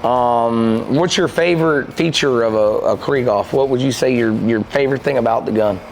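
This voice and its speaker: A man's voice: mid-range voice, normal speed, gravelly, a little hiss or hum, rough recording. A man in his thirties in casual chat, telling stories with lots of gestures in a lodge room with a stone fireplace. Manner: animated, gestures a lot, rambling storytelling. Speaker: podcast co-host and shooting club manager.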